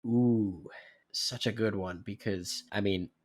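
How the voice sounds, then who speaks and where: A man co-hosting a casual podcast, congested, talking in an animated, joking way. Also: fairly low pitch, slow pace, over loud music. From New Jersey. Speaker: podcast co-host.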